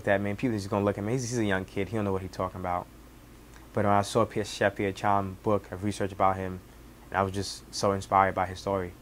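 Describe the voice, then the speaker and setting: Young Senegalese man, twenties, native Wolof speaker; low, even delivery, halting with restarts; faint background noise. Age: 20s. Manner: halting, many restarts and fillers. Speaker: cook. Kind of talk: casual personal storytelling.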